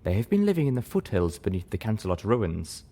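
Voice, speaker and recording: A man voicing a grave, reserved female zebra with a measured, remote manner in an audiobook. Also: on the low side, very animated, mellow.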